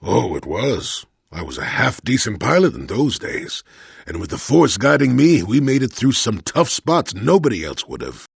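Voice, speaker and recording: A man playing a crotchety, wry old hermit in a video game, with a gravelly, husky voice and a sardonic storyteller's manner. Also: medium pitch, wildly swooping pitch, moderate pace, no room sound, clean studio sound, full character voice. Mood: wry reminiscing. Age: older. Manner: cantankerous, sardonic, rambling storyteller. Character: crotchety old male hermit, former Jedi, wry and weary. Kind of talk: video game character acting.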